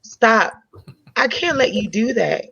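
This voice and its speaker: A woman acts a hurt, proud young woman in a comedy table read. Her voice is mid-pitched and fry-laden, wounded but firm, on a slightly muffled recording with faint noise. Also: animated, takes it slowly, sharp tone, heavily acted. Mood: resigned. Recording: dull lo-fi sound with faint noise.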